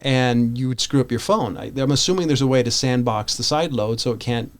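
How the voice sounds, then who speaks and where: A man's voice: medium pitch, fairly quick. An American man co-hosting a podcast, talking casually about tech in a hesitant, rambling way that often corrects itself. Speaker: podcast co-host.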